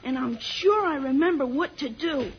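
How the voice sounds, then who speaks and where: A woman's voice: high-pitched, expressive, normal speed. A woman playing a bossy, impulsive, impatient boy in an old-time radio space adventure. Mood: eager, determined. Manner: impatient, scolding, urgent. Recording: dull, noisy vintage radio recording.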